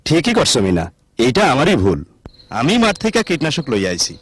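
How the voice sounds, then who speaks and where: A man playing a gentle, responsible village father in a cartoon, with a rural Bangladeshi accent. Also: a little high, wildly swooping pitch, brisk, a little hiss or hum. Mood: apologetic. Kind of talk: animated cartoon acting.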